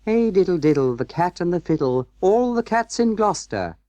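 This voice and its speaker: A storybook narrator voicing a hungry, vexed cat, plaintive and drawn-out. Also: mid-range voice, sing-song, mellow, no echo, pitched above the performer's own voice, lightly acted. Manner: drawn-out, plaintive mewing and sighing. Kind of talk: storybook character voice.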